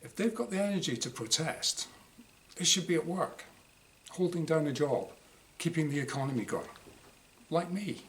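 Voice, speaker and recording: A man's voice: a little high, lively intonation, normal speed, pauses often. A man reading his own first-person diary short story aloud in a wry, exasperated, dramatised way. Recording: clear background noise.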